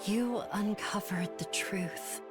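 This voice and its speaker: An actress playing a composed young female comrade in a game, speaking in a calm, deliberate and reassuring way. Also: mid-range voice, natural voice. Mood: solemn, consoling. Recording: game music behind the voice.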